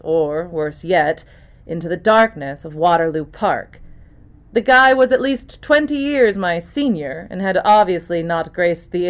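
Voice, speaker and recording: A woman actor reading scripted first-person mystery narration, as for an audiobook. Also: on the low side.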